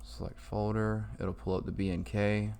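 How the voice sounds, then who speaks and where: A man talking through a casual, improvised software tutorial in an informal way. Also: on the low side, flat delivery, normal speed, clear, resonant tone. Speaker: tutorial maker. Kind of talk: casual screen-recorded tutorial.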